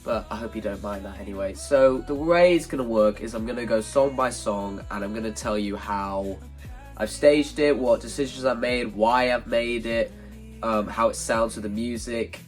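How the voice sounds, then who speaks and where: A man's voice: fairly low pitch, normal speed, faint music behind. A man with a slightly congested, hay-fever voice, talking informally and self-deprecatingly as he explains his creative plans in a casual vlog. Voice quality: slightly stuffy, congested nose. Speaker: video creator presenting a stage play concept.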